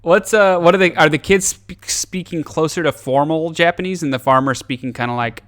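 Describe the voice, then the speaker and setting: Animated young American man, smooth voice. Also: fairly high pitch, brisk. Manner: animated, profane, joking, with restarts. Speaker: podcast host. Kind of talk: casual chat.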